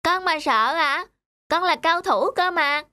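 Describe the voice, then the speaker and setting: A woman's voice: extremely high pitch, takes it slowly, sharp tone, full character voice. A woman voicing a cocky, stubborn little schoolboy in a cartoon, sulky and boastful. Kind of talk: cartoon voice acting. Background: music.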